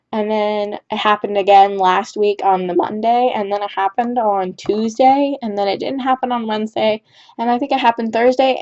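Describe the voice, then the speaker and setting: Teen girl, gaming youtuber, rambling casual chat, lots of fillers, mid pitch, muffled lo-fi mic. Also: darkish tone. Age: teens. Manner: rambling, filler-heavy, restarts often with "like" and "right?". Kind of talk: casual storytime chat over gameplay. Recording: dull lo-fi home mic.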